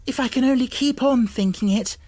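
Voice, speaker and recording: A woman's voice: on the high side, fairly quick, dark tone, dry recording, voice pushed up, natural voice. A woman voicing a poor, dreamy young girl full of wonder, in rapt, fervent exclamations for a storybook. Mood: amazed, rapturous. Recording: music bed under the voice. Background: music.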